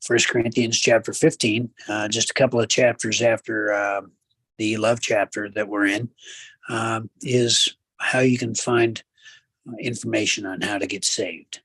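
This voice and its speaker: A man co-hosting a casual talk show, giving measured commentary with preacherly asides to the listeners. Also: lowish voice, flat delivery, fairly bright tone, breathy edge, no echo, low-quality recording. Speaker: radio show co-host.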